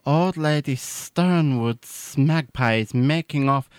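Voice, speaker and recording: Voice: mid-range voice, slow, clean tone, dry recording, studio quality, close to an everyday voice. A performer voicing a stubborn, greedy pet snake in a comic radio sketch, matter-of-fact in delivery. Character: pet snake, sullen, greedy, defensive sidekick.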